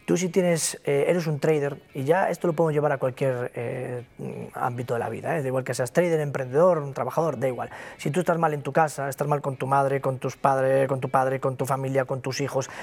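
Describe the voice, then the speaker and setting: A man's voice: mid-range voice, a little mellow. Emphatic man with a Galician accent. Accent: Galician Spanish. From Galicia, Spain. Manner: emphatic, repetitive, motivational. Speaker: interview guest, broker company founder. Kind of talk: interview conversation.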